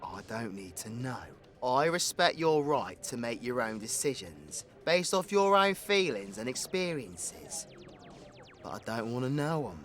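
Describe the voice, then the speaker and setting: A man's voice: medium pitch, sing-song, unhurried, a bit bright, soft background music. A British man playing a brash, foul-mouthed supervillain with a teasing, slangy manner in an audio roleplay. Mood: grave, restrained. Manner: slangy, cocky, swearing. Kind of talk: villain audio roleplay, spoken to the listener.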